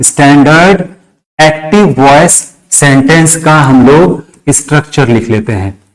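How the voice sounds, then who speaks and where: A man's voice: a little high, poor audio. A man with a Bihari Indian accent teaching a grammar lesson step by step, repeating phrases, dictating word by word and often checking in with short questions. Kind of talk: classroom lesson explained step by step.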